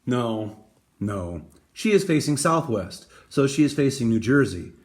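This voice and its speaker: Slow, animated man reading a storybook. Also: mid-range voice. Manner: dramatic, emphatic phrasing with deliberate pauses. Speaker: reader reading a picture book aloud. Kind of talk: expressive storybook read-aloud.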